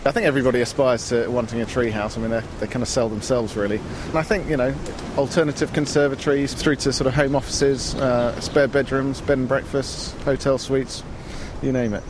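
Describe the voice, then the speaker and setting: Fast-talking man, noisy outdoor interview. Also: fairly even intonation. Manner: quick, chatty, lots of fillers. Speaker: treehouse builder. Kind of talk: casual interview answers. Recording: outdoor noise, traffic rumble.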